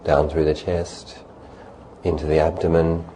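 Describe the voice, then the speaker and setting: A man leading a guided meditation as a teacher, speaking calmly and unhurriedly in short phrases with long pauses. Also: very deep voice, poor audio. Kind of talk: guided meditation, spoken instruction. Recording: faint background noise and ambience.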